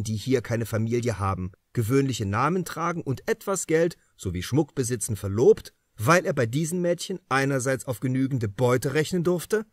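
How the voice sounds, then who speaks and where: A man's voice: medium pitch, no echo, clean studio sound, close to an everyday voice. A man voicing a confident, methodical police detective who lectures on his deductions in an audiobook. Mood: explaining, assured. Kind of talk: audiobook character dialogue.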